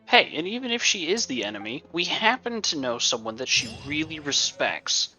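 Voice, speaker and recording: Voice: medium pitch, very animated, moderate pace, sharp tone. A game streamer giving casual, wry commentary full of joking asides on a dull lo-fi mic. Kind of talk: casual live gameplay commentary. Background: video game music.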